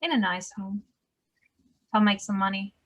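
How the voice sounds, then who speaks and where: An actress as a tough nurse who talks straight. Her pitch is mid-range, in a conversational dramatic script reading. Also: normal speed, a bit bright, lightly acted. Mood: matter-of-fact. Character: Lisa, tough no-nonsense nurse. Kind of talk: table read acting.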